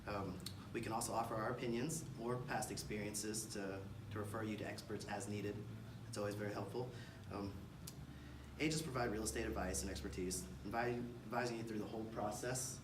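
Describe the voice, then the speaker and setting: Distant, echoey low-voiced American man presenting. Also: fairly even intonation, a little fast. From Kansas City, United States. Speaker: real estate agent presenting a seminar. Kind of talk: seminar presentation. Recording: distant mic, roomy echo, clear background noise.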